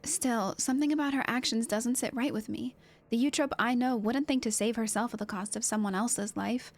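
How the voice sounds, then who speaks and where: Woman acting earnest, high-voiced bearer of grave news. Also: brisk, close to an everyday voice. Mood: earnest, thoughtful. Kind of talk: amateur dramatic reading of game dialogue.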